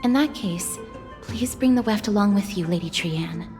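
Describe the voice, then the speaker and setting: An actress playing a poised, gentle, courteous young woman in a video game, speaking formally and with measured care. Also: mid-range voice, faint music behind, lightly acted. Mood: caring. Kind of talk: scripted video game drama.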